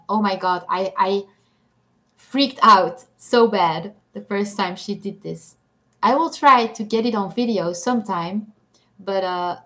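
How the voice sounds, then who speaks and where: A woman's voice: mid-range voice, a bit bright. A young woman from Belgium whose first language is Dutch, speaking English with a Belgian Dutch accent as she chats casually on a live stream, reading comments, laughing often and poking fun at herself. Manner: chatty, giggly, self-deprecating.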